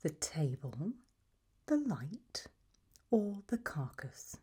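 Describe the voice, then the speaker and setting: A woman doing a whispered ASMR roleplay as an examiner, hushed and deliberate, pausing between short phrases. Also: deep voice, very animated, husky. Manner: slow, deliberate, softly instructive with long pauses between options.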